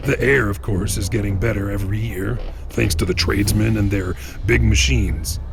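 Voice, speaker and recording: An actor playing a sly, condescending corrupt inspector in a sci-fi audio drama, folksy and smug with quiet menace. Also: male voice, on the low side, steady tone, moderate pace, gravelly. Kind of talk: science fiction audio drama acting.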